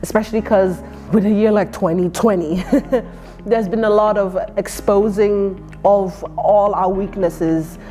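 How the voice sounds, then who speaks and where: A woman's voice: mid-range voice, steady tone, unhurried, dark tone, faint music behind. A young woman from Ireland of Nigerian heritage, who grew up with Yoruba and English, answering interview questions in a thoughtful, earnest way with frequent fillers and restarts. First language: Yoruba alongside English. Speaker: poet and performer.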